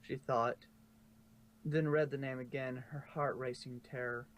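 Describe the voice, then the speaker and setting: A man narrates a fan story as if from a storybook, at a middling pitch. He is informal and fixes his slips as he goes. The home recording has some noise behind him. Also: steady tone, a little slow, rough recording. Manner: informal, stumbles and corrects himself. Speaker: fanfiction narrator. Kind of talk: storybook narration read aloud.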